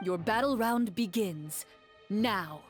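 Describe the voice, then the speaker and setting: A woman playing a composed game master, narrating a fantasy adventure in a measured, dramatic storytelling style. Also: mid-range voice, music in the background, lightly acted. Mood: ominous.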